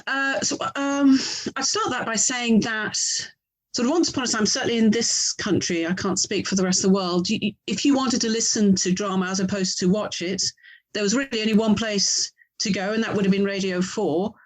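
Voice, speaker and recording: A woman's voice: medium pitch, fairly even intonation, fairly quick, rough recording. A woman with an English accent, explaining things casually as an interview guest. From England. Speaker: interview guest, writer and audio producer.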